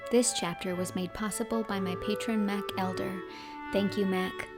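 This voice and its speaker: A woman's voice: medium pitch, fairly even intonation, moderate pace. Woman narrating a storybook over music. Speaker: audiobook narrator and host.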